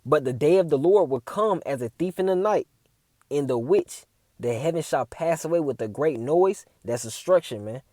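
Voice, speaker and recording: A man's voice: a little high, animated, moderate pace, mellow, no room sound, clean studio sound. A man giving a casual religious commentary as a preacher, reading scripture and tagging his lines with "man" and "all right?".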